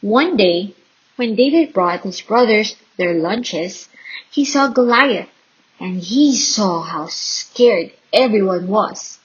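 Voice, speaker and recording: A woman reading a children's Bible story aloud in an expressive, dramatic and deliberate storytelling style. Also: medium pitch, husky, lo-fi sound. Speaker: storybook reader.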